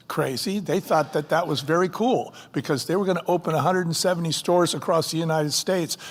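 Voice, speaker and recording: A man with a somewhat high voice talks emphatically from a conference stage, driving his points home with punchy rhetorical questions. His voice carries a long hall reverb. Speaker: company co-founder on stage. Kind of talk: conference fireside chat.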